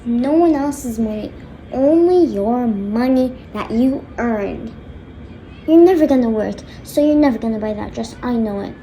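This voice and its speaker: A woman's voice: a little high, expressive, measured pace, mellow, a little hiss or hum, rough recording. A woman voicing a stern, angry father who scolds bluntly and emphatically in a homemade skit. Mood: exasperated, lecturing. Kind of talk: homemade skit role-play.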